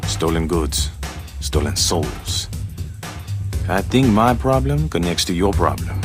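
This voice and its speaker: An actor playing a suave, cunning mutant thief boss in a video game cutscene, smooth and cryptic. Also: male voice, animated, music in the background. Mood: sly. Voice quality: smooth, low and measured. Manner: cryptic, unhurried, secretive.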